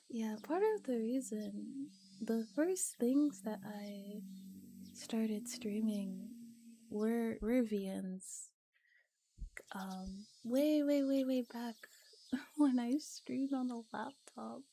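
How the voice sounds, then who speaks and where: Mellow, soft-spoken woman streamer. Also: fairly high pitch, a little hiss or hum. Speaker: streamer reading visual novels aloud. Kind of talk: relaxed casual livestream chat, ASMR.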